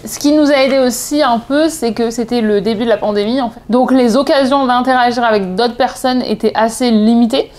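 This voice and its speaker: Woman, thirties, French accent, higher-pitched voice, casual personal storytelling, chatty, digressive, self-correcting. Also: steady tone, fairly quick, few pauses, darkish tone. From southeast France. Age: 30s. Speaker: vlogger.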